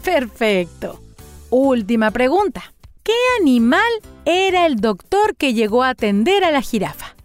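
A woman storyteller speaks slowly and deliberately to young listeners, her fairly high voice swinging up and down as she asks quiz questions. She praises every answer warmly, and soft music plays faintly underneath. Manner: animated, coaxing, praising answers. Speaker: children's storyteller and channel host. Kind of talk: playful quiz for children after a story.

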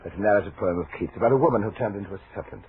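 An actor playing a courtly, poetic, eerily polite suitor with formal, hesitant speech in a 1940s radio drama. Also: male voice, fairly low pitch, racing, lightly acted. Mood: awed, admiring. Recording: muffled, noisy old radio recording.

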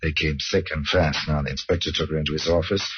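Sardonic radio crime storyteller, very deep voice, deliberate, rhetorical, brooding, muffled vintage sound. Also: male voice, fairly even intonation, slightly rough, no echo, full character voice. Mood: grave, suspenseful. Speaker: narrator. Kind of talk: dramatic old radio crime narration. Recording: dull old radio recording.

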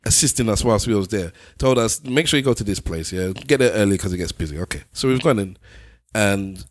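A man's voice: on the low side, fairly quick, no room sound, clean studio sound. A man, co-hosting a podcast, telling stories and arguing his point with emphasis. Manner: emphatic, argumentative, animated storyteller. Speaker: podcast co-host. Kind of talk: casual banter and storytelling.

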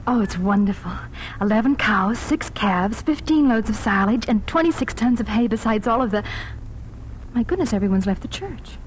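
A woman acting a warm-hearted young schoolteacher in a 1940s radio drama. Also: a little high, lively intonation, normal speed, lightly acted. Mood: moved, excited. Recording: noisy 1940s radio broadcast recording.

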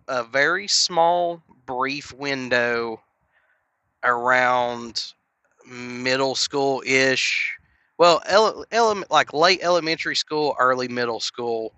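Young man with a cold, rambling casual podcast rant, bright tone. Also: medium pitch, no room sound. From Knoxville, Tennessee. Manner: rambling, filler-heavy, earnest. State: sniffly from a cold. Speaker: podcast host.

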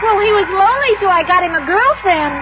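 An actress playing a scatterbrained, naive young secretary in a 1950s radio comedy, giggly and breathless. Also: female voice, very high-pitched, animated, quick pace, over music. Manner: giggly, dim-witted, breathless. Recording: dull old radio broadcast, hissy, live audience.

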